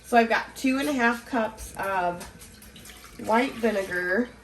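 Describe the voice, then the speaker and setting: Slow, congested woman hosting a cooking lesson. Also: fairly high pitch, echoey room, a little hiss or hum, poor audio. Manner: relaxed, chatty, laughs easily. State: congested from a cold. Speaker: home canning channel host. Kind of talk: casual cooking tutorial.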